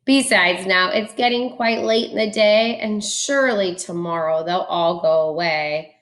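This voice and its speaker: Big-hearted storybook moose played by a woman: polite, obliging, put-upon, slow-paced. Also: medium pitch, fairly bright tone, natural voice. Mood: grumbling resignation. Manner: polite, accommodating.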